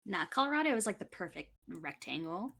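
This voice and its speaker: A woman's voice: fairly low pitch, sing-song, brisk, vocal fry, fairly bright tone. A woman bantering casually as a podcast panelist, with a wry, playful way of talking.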